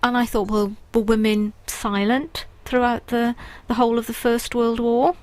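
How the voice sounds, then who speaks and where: A woman's voice: a little high, flat delivery, moderate pace, no echo. A woman guest on a radio interview, talking earnestly and thoughtfully about poetry with frequent ums. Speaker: guest poet and writer.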